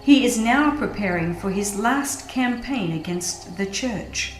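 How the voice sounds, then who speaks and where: A woman narrates a religious audiobook with a solemn, measured delivery. Her voice sits at a medium pitch in a noticeably roomy, echoing sound. Music plays softly behind her. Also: expressive.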